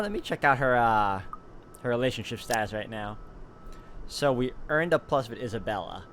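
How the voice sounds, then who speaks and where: A man playing a visual novel, reading its narration aloud in a steady, even way with relaxed asides. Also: medium pitch, slight background noise. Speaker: gaming YouTuber. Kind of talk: reading game text aloud with commentary.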